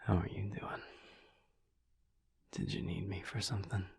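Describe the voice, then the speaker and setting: A man playing a teasing, affectionate werewolf husband in an intimate audio roleplay, murmuring in a breathy, husky whisper. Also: low voice, steady tone, full character voice. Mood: playful, teasing. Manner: slow, murmured, playful delivery with long pauses. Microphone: close mic, dry.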